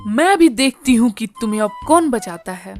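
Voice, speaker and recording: A cruel, scheming aunt of middle age, performed by a woman in a cartoon tale. Her voice sits high and she speaks as a greedy plotter. Music runs underneath. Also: expressive, moderate pace, close to an everyday voice. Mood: menacing. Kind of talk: Hindi cartoon story dialogue.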